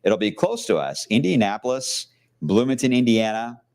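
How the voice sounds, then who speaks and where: A man's voice: mid-range voice, big pitch swings, moderate pace, a little mellow. A man giving a live TV weather forecast from a shopping outlet, in a loose, chatty way with fillers and asides. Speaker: TV meteorologist.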